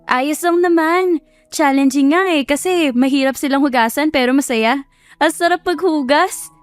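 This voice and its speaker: A woman's voice: high voice, moderate pace, dry recording, soft background music, voice pushed up, close to an everyday voice. A woman voicing a sweet, determined young lady in love in an audio romance, speaking emphatically and affectionately. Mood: cheerful. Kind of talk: dramatized audio love story.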